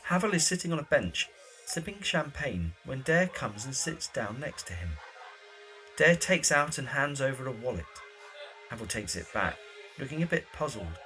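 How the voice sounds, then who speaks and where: Male narrator, medium pitch, scripted period-drama storytelling, faint music behind. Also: big pitch swings, moderate pace, pushed and bright, low-quality recording.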